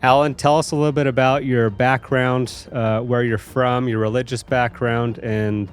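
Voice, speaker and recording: A man's voice: medium pitch, flat delivery, normal speed. Man hosting an interview over light music. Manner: friendly, brief affirmations. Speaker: podcast host.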